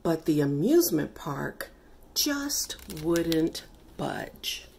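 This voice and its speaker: A middle-aged woman reads a children's story aloud at an unhurried pace. Her voice sits a little low and rises and falls widely, with careful, expressive phrasing aimed at young listeners. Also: creaky, slight background noise. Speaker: author reading own picture book.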